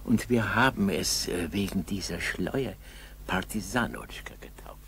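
An actor playing a kindly old Russian village grandfather in a 1960s radio play, chatty, coaxing and husky. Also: low voice, fairly bright tone, exaggerated voice. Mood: amused storytelling. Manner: folksy, coaxing, halting storytelling. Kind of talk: 1960s German school radio play acting.

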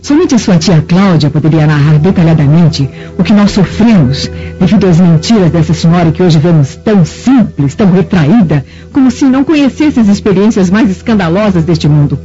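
A woman's voice: fairly low pitch, expressive, brisk, few pauses, warm tone, natural voice. A woman playing a bitter, venomous Roman mother in a radio drama, speaking with theatrical, emphatic indignation. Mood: scornful. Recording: dull radio drama recording with music.

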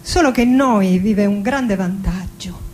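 Slow, declamatory actress as a passionate woman poet. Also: medium pitch, darkish tone, slight background noise, lightly acted. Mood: bitter, tender. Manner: declamatory, dramatic, lingering on words. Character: passionate, proud, defiant Argentine woman poet in her forties. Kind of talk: theatrical poetic monologue with music. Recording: voice over live musical accompaniment. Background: music with accordion and guitar.

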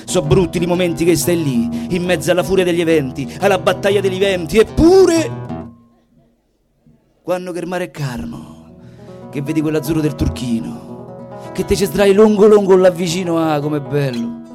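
High-voiced Roman man performing over guitar. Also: lively intonation, normal speed. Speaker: stage performer, singer. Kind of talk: theatrical comic monologue with sung serenades in Roman dialect. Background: plucked guitar music.

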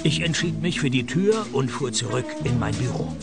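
A man playing a wry, unflappable private detective in a radio play, deadpan and sardonic. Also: medium pitch, moderate pace, loud music behind the voice, natural voice. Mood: dry narrating. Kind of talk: radio play dialogue and first-person narration.

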